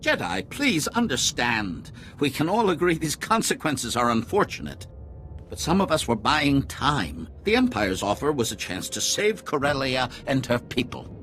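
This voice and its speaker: An actor playing a politician who is secretly a zealous villain, in a video game cutscene. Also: male voice, medium pitch, very animated, normal speed, fairly bright tone, slight background noise. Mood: pleading. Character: male politician secretly possessed, sneering zealous sleeper agent.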